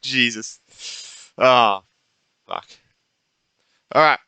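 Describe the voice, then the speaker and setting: A man's voice: mid-range voice, drawn-out words, vocal fry, pushed and bright, slight background noise. A man giving casual, off-the-cuff gameplay commentary as a streamer, reacting and swearing freely. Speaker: gaming streamer. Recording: faint game music behind.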